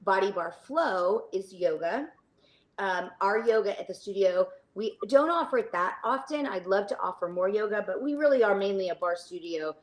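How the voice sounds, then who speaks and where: Woman, middle-aged, studio owner being interviewed. Medium pitch, casual, chatty, stop-and-start delivery that drifts off on tangents. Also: lively intonation. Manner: chatty, halting, trails into tangents.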